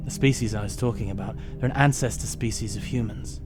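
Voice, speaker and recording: A man playing an earnest, compassionate alien time-traveller in an audio drama, speaking in a halting, wry way. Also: fairly low pitch, over loud music, close to an everyday voice. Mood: grave, earnest. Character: alien time-traveller, male, morally outraged, compassionate hero. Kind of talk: amateur audio drama acting.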